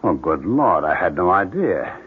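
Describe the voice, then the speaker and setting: A man's voice: low voice, big pitch swings, moderate pace, breathy edge, heavily acted. A man acting an eager, moody painter husband in a 1970s radio drama. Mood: elated. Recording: muffled, hissy 1970s radio broadcast.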